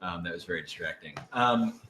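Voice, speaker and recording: A man's voice: sits low, fairly quick, pushed and bright, slight background noise. A man co-hosting a casual live Q&A, chatting in an enthusiastic, rambling and self-effacing way. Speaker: co-host and voice actor. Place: indoor room, on a couch. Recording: echoey, roomy sound.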